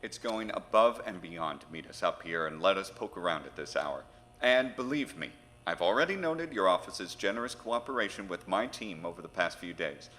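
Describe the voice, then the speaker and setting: Man as a low-voiced, formal federal agent. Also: lively intonation, moderate pace, bright, forceful tone. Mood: polite, businesslike. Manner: polite, formal, dry. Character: courteous, dry male federal agent. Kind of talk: audio drama acting. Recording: reverberant room with faint noise.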